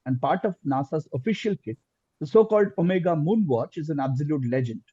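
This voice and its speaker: A man's voice: on the high side, warm tone, breathy edge. A man working as quizmaster, reading out questions formally in an online quiz, on lo-fi call audio.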